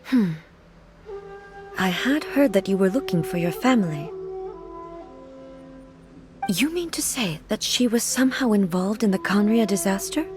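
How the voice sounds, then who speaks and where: A woman playing a hushed, regal grieving goddess. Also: medium pitch, animated, over music, close to an everyday voice. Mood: sorrowful. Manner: soft, hushed and measured. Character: solemn, regal goddess grieving her sister. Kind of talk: video game dialogue.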